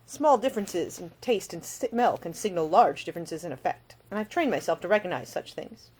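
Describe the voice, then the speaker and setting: Woman voicing a suave, teasing gentleman rogue, lively intonation. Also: on the low side, normal speed, slightly rough. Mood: amused, suave. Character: cheery roguish idle gentleman, large, suave and teasing. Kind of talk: audiobook character dialogue.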